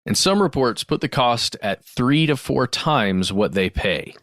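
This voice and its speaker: A man's voice: mid-range voice, animated, measured pace, fairly bright tone, no room sound. A man with a mid-range voice speaks as a podcast co-host in a dry studio sound. He reads correspondence aloud conversationally, stepping out to explain and recap as he goes. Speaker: podcast co-host reading listener mail. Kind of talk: conversational podcast, reading emails aloud with asides.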